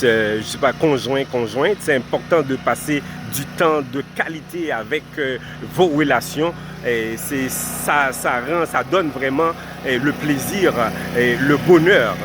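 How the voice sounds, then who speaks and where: A man's voice: on the high side, background noise. Man giving earnest advice outdoors. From Haiti. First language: Haitian Creole. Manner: addresses viewers formally, repeats points for emphasis. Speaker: vlogger giving life advice. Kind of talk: direct-to-camera advice talk.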